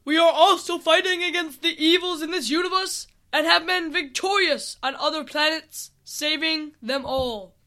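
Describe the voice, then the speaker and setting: A woman voicing a commanding, formal male leader of an otherworldly army in a storybook, loud and declamatory. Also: high voice, expressive, measured pace, heavily acted. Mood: proud, grave. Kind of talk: storybook reading.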